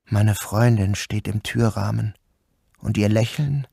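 An anxious, guilt-ridden man who narrates his own story in a radio play. His voice is on the low side, measured and deliberate, with a literary, dramatic delivery. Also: creaky, warm tone, slightly rough, exaggerated voice. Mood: tense dread. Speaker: narrator and lead actor.

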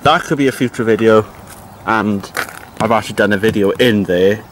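A man's voice: lowish voice, moderate pace, a little hiss or hum. A man in his twenties with a South Wales accent, chatting informally and thinking aloud as he films a walking vlog. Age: 20s. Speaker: hiking vlogger. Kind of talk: casual vlog commentary while walking. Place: outdoors on a woodland hiking trail. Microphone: handheld camera at arm's length. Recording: outdoor walking vlog with music behind. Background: music.

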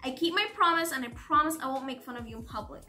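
A woman's voice: high-pitched, fast talker, bright, forceful tone, faint music behind. A woman voicing a wisecracking, talkative schoolgirl with an exuberant, teasing way of talking, as audiobook dialogue. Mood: pleading.

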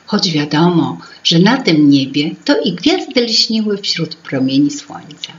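Mature female storyteller for children, lowish voice, very animated delivery, intimate whispered asides, home setup. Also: measured pace. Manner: expressive, lingering, with whispered asides. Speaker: author reading her own fairy tale. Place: small room at home with paintings. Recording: dull lo-fi home recording.

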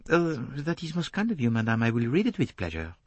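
A man's voice: mid-range voice, wildly swooping pitch, brisk, no echo, pitched above the performer's own voice. A man voicing a small, polite foreign male detective who speaks formally with French phrases.

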